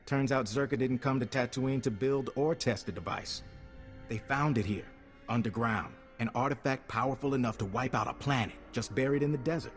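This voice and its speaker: A man's voice: mid-range voice, fairly quick, long reverb tail, faint music behind, close to an everyday voice. An actor playing a businesslike male agent giving a mission briefing in a game cutscene, assured and curt. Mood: serious briefing. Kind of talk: scripted game cutscene dialogue.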